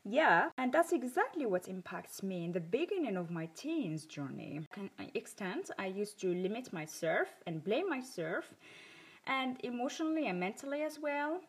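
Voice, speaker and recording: A woman's voice: fairly high pitch, sing-song, moderate pace, slightly rough. A woman with a Moroccan accent hosting a self-improvement podcast, telling her personal story in an earnest, emphatic way.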